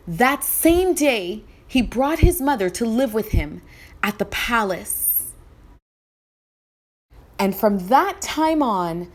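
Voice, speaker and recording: A woman reading a children's story aloud in expressive scripted narration. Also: on the high side.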